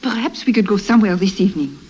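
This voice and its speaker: An actress playing a coquettish, pouting young bride in an old radio drama. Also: female voice, mid-range voice, lively intonation, fast talker, a little mellow, slight background noise, close to an everyday voice. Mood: coaxing. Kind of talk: 1950s radio drama acting.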